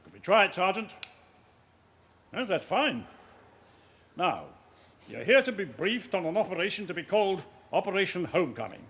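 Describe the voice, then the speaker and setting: An actor playing a senior police officer delivering a formal, clipped, authoritative briefing in a radio drama. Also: male voice, high-pitched, big pitch swings, normal speed, long pauses, a bit bright, slight background noise, exaggerated voice. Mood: brisk, commanding. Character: commanding male senior police officer briefing his men.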